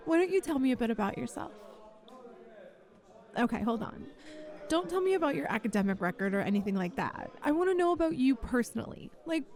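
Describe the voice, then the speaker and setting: A woman performs a kind bar manager in an intimate audio roleplay. Her voice is high and feminine, with a gentle, encouraging delivery. She is nurturing and a little flirty as she soothes a nervous applicant. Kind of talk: ASMR roleplay, one-sided conversation.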